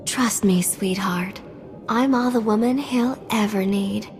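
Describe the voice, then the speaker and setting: A woman's voice: mid-range voice, measured pace, a little mellow, close to an everyday voice. A woman playing a flirty, sensitive hologram receptionist in a video game, chatty and gushing with a sassy edge. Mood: sassy, hurt. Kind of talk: cinematic video game dialogue. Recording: hall reverb, faint music behind.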